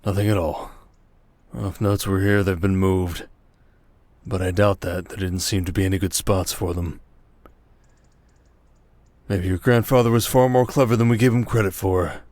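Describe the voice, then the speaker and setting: A man acting a low-voiced, commanding merchant lord. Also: fairly even intonation, darkish tone, dry recording, close to an everyday voice. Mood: intent, dry. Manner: dry, measured, commanding. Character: stern, protective merchant lord, calm but menacing. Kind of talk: roleplay audio drama.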